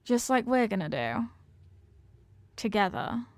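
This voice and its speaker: A woman's voice: on the high side, sing-song, a little slow, no echo. A woman playing a confident mafia boss girlfriend in an intimate romantic audio roleplay, talking tough but tenderly with affectionate slang. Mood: proud, hopeful. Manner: affectionate tough talk with slangy pet names.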